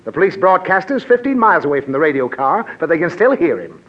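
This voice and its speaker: Harried, lecturing dad, lively fairly high voice. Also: male voice, quick pace. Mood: exasperated. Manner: blustering, lecturing, easily flustered. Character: exasperated middle-aged father. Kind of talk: 1940s radio comedy acting. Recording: dull old radio broadcast, faint hiss.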